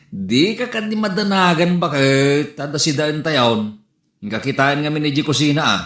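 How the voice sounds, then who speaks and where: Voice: medium pitch, lively intonation, measured pace, fairly bright tone. A performer with an Ilocano accent acting in a comedy sketch, bantering and teasing. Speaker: comedic actor. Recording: echoey room with music underneath.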